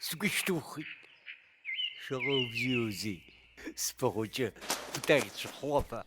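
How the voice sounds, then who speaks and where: A man voicing a weary, tender father in an animated fairy tale, fond and wondering, with an Abkhaz accent. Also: mid-range voice, slow, background noise. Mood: tender, tired.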